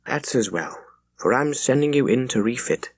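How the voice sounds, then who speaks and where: A man's voice: medium pitch, animated, normal speed, breathy edge, dry recording, pitched above the performer's own voice. A man voicing a frail, kindly old admiral who speaks with approving authority in an audiobook. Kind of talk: audiobook character voice.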